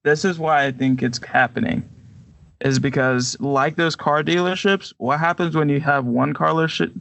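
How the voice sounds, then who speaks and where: A man's voice: a little high, moderate pace, gravelly, fairly bright tone. A man co-hosting a podcast in casual, conversational chat. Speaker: podcast co-host.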